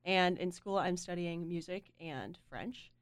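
A woman's voice: lowish voice, measured pace, clear, resonant tone, dry recording. A young woman from North Carolina, a student guitarist, chatting casually in an interview. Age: young adult. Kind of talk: casual chat.

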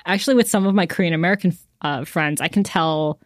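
A woman co-hosting a podcast, chatting casually about a book with lots of fillers and lively retellings. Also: fairly low pitch, fast talker, dry recording, studio quality. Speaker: podcast co-host.

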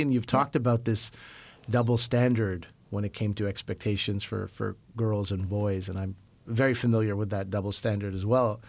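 Man, radio host, lower pitch, steady questions, short affirmations. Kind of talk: radio book interview.

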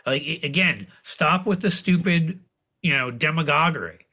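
A man talks politics with a sharp, bright edge and a raspy voice. He is blunt and emphatic, leaning into sarcasm and the odd swear word as a regular guest on a casual talk show. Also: fairly high pitch, moderate pace.

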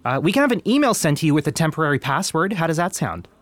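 A man's voice: a little high, big pitch swings, fast, no room sound. A man playing a polite, patient young customer service agent in a comedy audio drama, careful and courteous.

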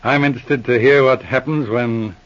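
An actor playing a shrewd, self-assured police sergeant in 1940s radio drama style, brisk, clipped and commanding. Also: male voice, mid-range voice, fairly bright tone, natural voice. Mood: confident. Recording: dull lo-fi vintage radio recording.

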